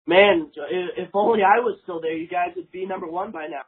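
A man's voice: high voice, a little fast, a little hiss or hum. A young man asking questions in a casual sports chat as a podcast interviewer. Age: young adult. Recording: tinny, narrow phone-like sound.

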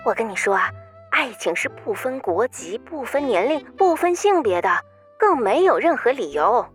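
A woman's voice: a little high, sing-song, moderate pace. An actress playing a playful, outspoken young woman, a teasing and encouraging best friend in an audio drama. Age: young adult. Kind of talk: audio drama phone conversation. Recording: faint music bed behind the voice.

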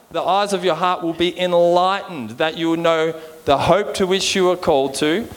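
An Australian man preaching an informal sermon in a conversational way, often checking in with his listeners for agreement. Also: high voice. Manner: conversational, seeks agreement with frequent rhetorical check-ins. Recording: long hall reverb.

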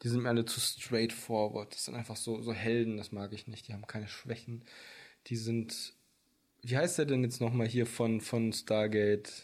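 A man's voice: mid-range voice, flat delivery, normal speed, a little mellow. Rambling joking German man. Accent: mild Berlin accent. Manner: rambling, joking, prone to tangents. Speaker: podcast co-host. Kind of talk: casual banter between friends.

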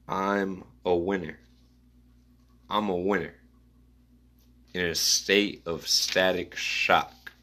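A man musing aloud in a rambling spoken-word style, reading his own notes in halting fragments. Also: low-pitched, fairly even intonation, bright, forceful tone.

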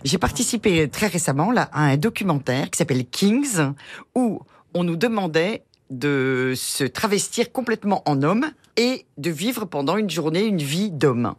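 A woman on a radio panel with a husky, high-set voice, recorded close and dry in the studio. She tells her stories with animated enthusiasm. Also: moderate pace, no room sound. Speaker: panel guest. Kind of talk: chatty panel storytelling.

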